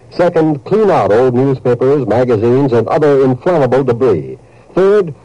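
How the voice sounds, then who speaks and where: A man reading a scripted 1950s radio public service announcement as announcer, grave, emphatic and measured. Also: mid-range voice, dark tone, no room sound, rough recording.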